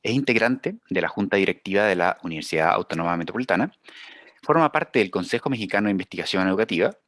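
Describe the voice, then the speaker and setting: A man's voice: deep voice, fairly bright tone, no echo. A man with a Chilean accent moderating a panel, formally introducing a guest, with small hesitations as he reads. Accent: Chilean Spanish.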